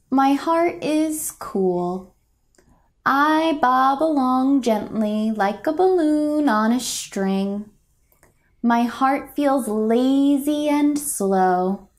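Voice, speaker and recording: A young woman reads a children's storybook aloud at a very slow, deliberate pace. Her voice sits a little high, and she delivers the lines gently and expressively, as for small listeners. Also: animated. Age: young adult. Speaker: picture book reader. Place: indoors, a home room.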